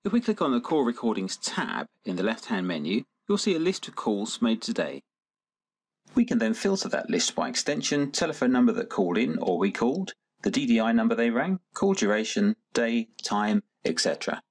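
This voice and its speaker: A man narrating a scripted software tutorial in a methodical, instructional manner. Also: medium pitch, sing-song, brisk, husky. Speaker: software demonstrator.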